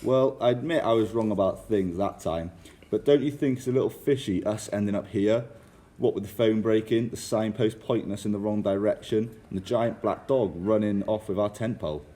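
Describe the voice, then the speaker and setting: A man's voice: fairly low pitch, brisk, mellow, natural voice. A man playing a nervous, suspicious young brother in an amateur radio drama. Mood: uneasy, reluctant.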